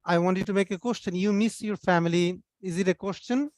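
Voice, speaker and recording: A man's voice: high voice, brisk, darkish tone, no echo. A man teaching an online English class, patiently correcting his students' grammar over a video call.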